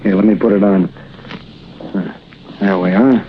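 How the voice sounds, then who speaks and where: An actor playing a confident masked crimefighter in a 1940s radio drama, on a muffled old recording with faint hiss. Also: male voice, on the low side, flat delivery, a little slow, lightly acted. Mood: assured. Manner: bold, heroic declarations.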